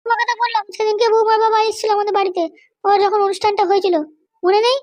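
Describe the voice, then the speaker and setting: A middle-aged Bengali wife with an extremely high, childlike cartoon voice. She talks quickly and bossily, nagging and commanding, always suspicious and scheming. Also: flat delivery. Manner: nagging, commanding, keeps demanding to be understood. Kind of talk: animated comedy cartoon dialogue.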